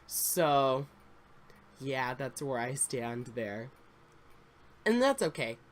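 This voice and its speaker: A man in his twenties talks at a middling pitch, congested and a touch raspy. He rambles in a confessional, casual way, full of fillers and restarts. A faint hum of room noise sits behind him. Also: sing-song, very slow. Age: 20s. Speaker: Sims YouTuber. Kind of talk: casual story time chat.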